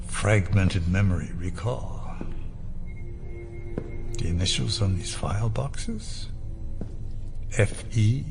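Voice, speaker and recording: Older male villain, audio drama: weary, brooding schemer, very slow and gravelly, music underneath. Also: lowish voice, exaggerated voice. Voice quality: gravelly, breathy at times. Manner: halting, brooding, musing.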